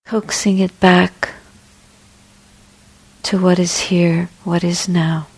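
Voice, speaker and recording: Slow, husky woman guiding meditation. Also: lowish voice, little pitch movement, low-quality recording. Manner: gentle, unhurried, with long pauses. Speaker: Buddhist meditation teacher. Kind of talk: guided meditation.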